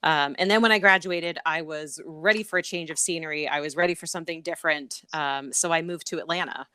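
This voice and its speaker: A woman's voice: sits low, steady tone, brisk, pushed and bright, clean tone, dry recording. A middle-aged woman from Connecticut, a professor being interviewed, talking casually with many ums and easy laughs. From Connecticut, USA. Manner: frequent "um" fillers and repeats, laughs easily. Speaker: guest, associate professor.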